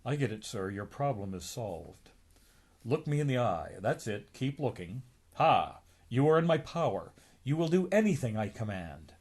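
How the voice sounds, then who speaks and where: A man voicing a self-assured stage hypnotist professor, speaking in a deliberate, commanding way. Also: mid-range voice, big pitch swings, a little mellow, husky.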